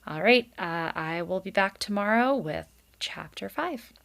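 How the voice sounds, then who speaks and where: A woman's voice: medium pitch, expressive, measured pace, creaky, a bit bright. A woman reading a children's book aloud as a storyteller and commenting on it casually.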